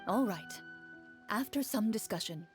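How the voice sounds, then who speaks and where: Fantasy game master played by a woman: mid-pitched, dramatic storyteller, measured and suspenseful, video game delivery. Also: expressive, soft background music, lightly acted. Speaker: narrator and game master character.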